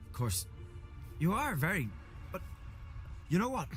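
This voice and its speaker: An actor playing a shy, earnest young village man in a video game, with a halting, smitten manner. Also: high-pitched, very animated, fairly bright tone, soft background music, heavily acted. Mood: flustered. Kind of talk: video game cutscene acting.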